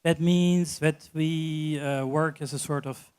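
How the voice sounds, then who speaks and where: A man's voice: fairly high pitch, fairly even intonation, slow pace, a little mellow, clear, resonant tone. A man representing an economic development agency, explaining its services carefully and hedging his wording. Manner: careful, hedging with filler phrases. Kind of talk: trade show interview.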